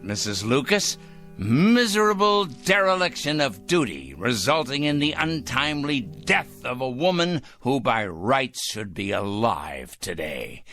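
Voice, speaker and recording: एक अभिनेता एक घमंडी, खुद को धर्मी मानने वाले अधेड़ उम्र के सनकी आदमी की भूमिका निभा रहा है, जो पुराने टीवी नाटक की रिकॉर्डिंग में हल्के संगीत के साथ है।. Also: male voice, medium pitch, exaggerated voice. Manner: pompous, sanctimonious, lively. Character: self-righteous middle-aged male letter-writing crank. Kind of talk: 1960s TV drama acting. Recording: old TV soundtrack with faint music.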